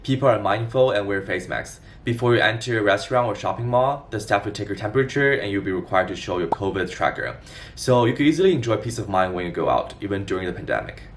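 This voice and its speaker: A man in his twenties from China giving a scripted explainer vlog in a clear, organized way. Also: lowish voice, lively intonation, fast talker, far from the mic, noisy background. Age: 20s. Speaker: vlogger.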